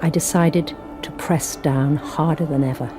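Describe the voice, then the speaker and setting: An actress playing a young Sister Superior who talks in a firm, clipped and commanding way, and who also narrates. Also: female voice, deep voice, steady tone, unhurried, music in the background. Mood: reflective.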